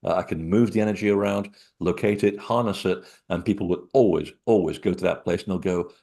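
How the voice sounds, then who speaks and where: A man from Portugal, a guest author, telling digressive stories in an animated way from his small apartment living room over a computer call. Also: sits low, brisk, creaky. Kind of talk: rambling storytelling interview.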